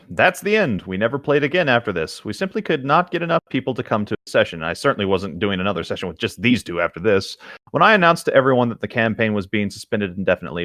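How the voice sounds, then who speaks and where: A man's voice: fairly low pitch, a bit bright, no echo, studio quality. A man casually reading a tabletop gaming story aloud as a host, in an informal, slightly exasperated way.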